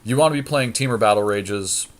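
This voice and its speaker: A man's voice: lowish voice, fairly quick. A man co-hosting a casual podcast, talking through a card game draft in a blunt, opinionated way. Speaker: podcast co-host.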